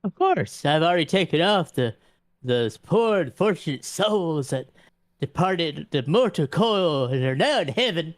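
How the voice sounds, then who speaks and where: A man's voice: a little high, animated, a little slow, no echo, studio quality. A man voicing a courtly, pious vampire in a tabletop game, prudish around ladies, with hesitant, stammering, old-fashioned politeness. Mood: obliging. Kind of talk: improvised tabletop roleplay.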